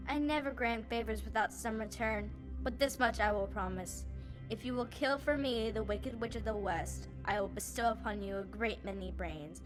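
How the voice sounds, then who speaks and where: A woman's voice: on the high side, normal speed, over music, lightly acted, poor audio. A woman in an audio play playing a regal, imperious winged lady, speaking formally. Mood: stern, bargaining. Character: regal winged fairy-like lady, great and terrible Oz. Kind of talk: audio play acting before a live audience.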